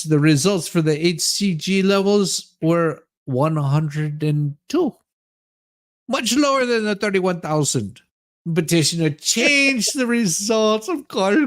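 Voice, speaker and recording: Theatrical, crude host with widely swinging pitch. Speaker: livestream host. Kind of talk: comedic dramatic reading of a court ruling, with ad-libs.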